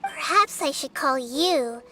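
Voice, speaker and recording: A woman voicing a wise little girl deity in a video game, speaking in a calm, measured, explanatory way. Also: sits high, a little hiss or hum, exaggerated voice. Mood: composed, probing. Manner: measured, matter-of-fact, explanatory. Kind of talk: scripted video game voice acting.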